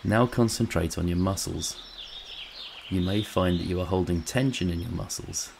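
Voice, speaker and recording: A man narrating a scripted guided meditation in a calm, soothing, measured way, with long pauses. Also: low voice, gravelly, noisy background.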